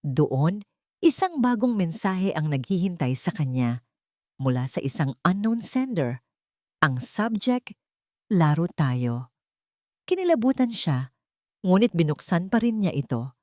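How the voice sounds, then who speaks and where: A Filipino woman narrates an audio drama from a script. Her voice sits a little low for a woman, and the recording is dry, with very little room sound. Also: very animated, a little slow, dark tone, no room sound.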